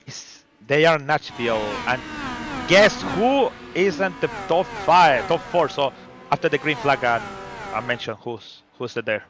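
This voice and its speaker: A man's voice: on the high side, expressive, measured pace, background noise. A man giving color commentary on a sim racing broadcast, hesitant and full of fillers as he searches for names and words.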